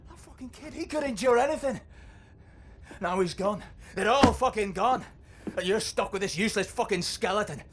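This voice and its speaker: An actor playing an older male fighter, bitter and foul-mouthed, swinging from muttering to shouting. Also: sits high, normal speed, heavy background noise, close to an everyday voice. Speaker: resistance fighter. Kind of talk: video game cutscene acting.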